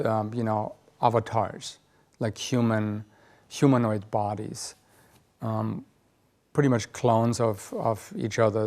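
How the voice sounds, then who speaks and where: A man's voice: mid-range voice, darkish tone. A man with an Austrian accent, a native German speaker, explaining his work hesitantly as an artist in a documentary interview. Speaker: audiovisual artist.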